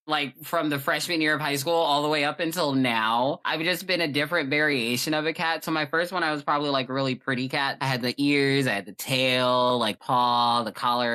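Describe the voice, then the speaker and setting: A young adult chatting casually and telling personal stories in an animated, informal, emphatic way. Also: medium pitch.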